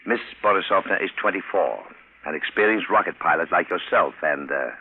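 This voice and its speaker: Deep-voiced, dignified President, old radio. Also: male voice, expressive, a little fast. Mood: formal. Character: formal, dignified older male President. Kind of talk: 1950s radio drama acting. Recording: noisy, tinny vintage radio broadcast.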